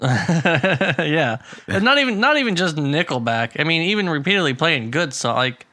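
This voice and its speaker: A man in easy podcast conversation, his voice bright and forward, recorded close and dry behind a pop filter. He rambles, restarts his sentences and laughs a lot. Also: on the high side, very animated, normal speed, no echo. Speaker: podcast co-host. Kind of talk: casual chat.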